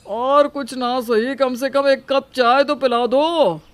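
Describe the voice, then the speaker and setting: Cartoon story role: woman as grumpy older shopkeeper husband, loud, complaining, high-pitched. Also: slow pace. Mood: impatient. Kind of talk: acted cartoon story dialogue.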